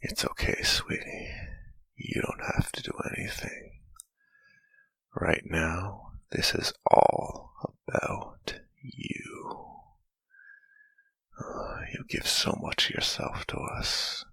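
A man playing an adoring, sensual lover in a whispered intimate roleplay, breathy and murmuring tenderly close to the mic. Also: very high-pitched, wildly swooping pitch, pushed and bright, no echo, heavily acted, low-quality recording. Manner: slow, tender murmuring with lingering hums. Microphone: close mic, dry.

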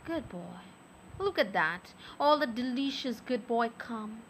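A young adult woman speaking softly and close to the mic in an intimate audio roleplay, with a teasing, coaxing manner. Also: fairly high pitch, animated, a little hiss or hum, low-quality recording. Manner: soft, slow, teasing and coaxing.

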